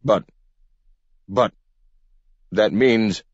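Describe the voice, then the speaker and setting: A man's voice: fairly low pitch, extremely slow, dry recording, voice pushed up. A man voicing a pompous, sputtering male city mayor in a storybook reading. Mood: flustered. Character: blustering, flustered, self-important male city mayor. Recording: dull lo-fi recording.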